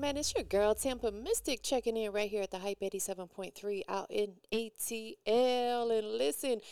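A woman's voice: fairly high pitch, darkish tone, smooth, no room sound, clean studio sound. A woman hosting a casual hip-hop radio interview, slangy and encouraging with her guest. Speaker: radio host interviewing a musician.

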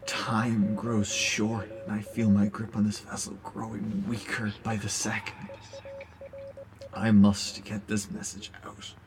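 A man playing an ancient, once-mighty cosmic being, now weakened, delivering a solemn, cryptic audio drama monologue in measured phrases. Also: fairly low pitch, flat delivery, a little hiss or hum, poor audio. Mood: weary urgency. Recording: music behind the voice.

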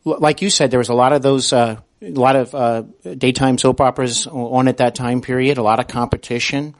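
A man with an average-pitched voice hosts a podcast interview. He speaks casually, feeling his way into questions in a slightly halting manner. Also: fairly even intonation.

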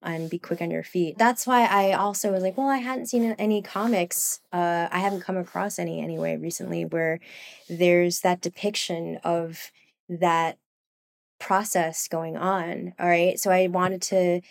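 Woman interview guest, low-ish voice, loose rambling delivery, filler-heavy. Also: fairly even intonation, a little slow, creaky. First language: Cantonese. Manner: rambling, many fillers, laughs often. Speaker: guest, comics artist. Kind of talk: casual interview chat.

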